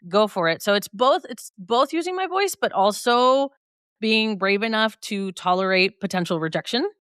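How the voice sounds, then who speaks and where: A woman guest talks through her journey in a relaxed, reflective way. She is upbeat and laughs lightly as she speaks. Her voice is smooth and clear, recorded dry and close. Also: medium pitch, moderate pace, no echo. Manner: reflective and upbeat, laughs often mid-sentence. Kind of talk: conversational interview.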